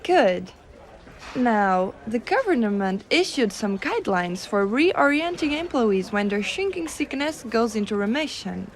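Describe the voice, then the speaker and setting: A high, very expressive female voice for a giantess secretary, acted by a woman in an ASMR roleplay. She is gentle and doting, coaxing the listener with soft reassurance and a teasing, quietly dominant edge. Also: unhurried. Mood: helpful, lightly teasing.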